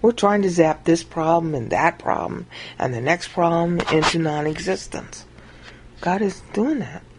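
A woman giving an informal Bible teaching monologue over a screen recording, acting out quoted lines with big jumps in pitch. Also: measured pace, noisy background.

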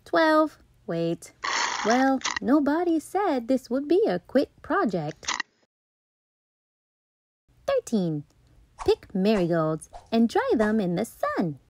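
A woman reading a children's picture book aloud as a storytime host, playful and deliberate, with dramatic emphasis. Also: fairly high pitch, wildly swooping pitch, background noise. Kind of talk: expressive children's read-aloud narration.

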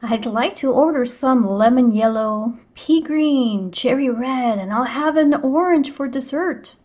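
Hungry male painter for a children's storybook, played by a woman, high voice, measured diner's delivery. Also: darkish tone, lo-fi sound. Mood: earnest ordering.

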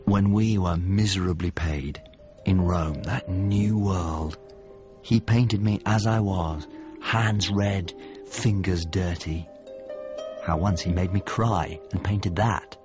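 Deep-voiced, melancholy painter. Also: male voice, slow pace, lo-fi sound, music in the background. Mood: reflective, melancholy. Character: Mario, melancholy haunted painter, a grown man. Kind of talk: naturalistic radio drama acting and monologue.

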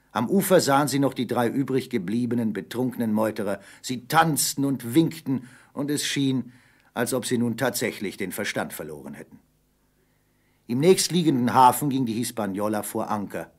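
A man narrating an adventure audio play as a scripted, dramatic storyteller. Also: mid-range voice.

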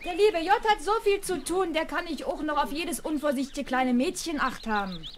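An actress playing a good-natured, admonishing housemaid in a seventies radio play, on a noisy old record. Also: female voice, high-pitched, moderate pace, lightly acted. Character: cautious, scolding but good-natured housemaid. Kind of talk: 1970s radio play acting.

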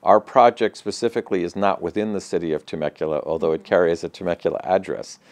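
An older man answering interview questions in an office, speaking in a measured, matter-of-fact way with frequent fillers and restarts. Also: sits low, fairly even intonation, a little mellow, no room sound. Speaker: regional center president, interview guest. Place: office with bookshelves, indoors. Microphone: lapel mic.

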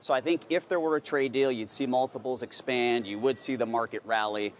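A man's voice: mid-range voice, a little fast, a little hiss or hum. A man in his thirties answering as a wealth manager on a financial news set, casual and confident. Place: TV studio on a trading floor.

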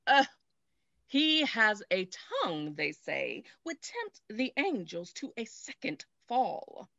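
A woman's voice: mid-range voice, sing-song, takes it slowly, gravelly, sharp tone, dry recording, muffled recording, full character voice. A woman playing an alarmed, prudish mother in a period comedy, dramatic and disapproving, over a video call. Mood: scandalized. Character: alarmed, prudish, scandalized mother of a young lady. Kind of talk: theatrical period comedy reading. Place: indoor room, video call.

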